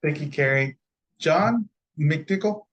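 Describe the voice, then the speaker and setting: A woman's voice: low voice, lively intonation, takes it slowly, dull audio. A woman moderating a group video call, casually calling on participants. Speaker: meeting host calling on participants.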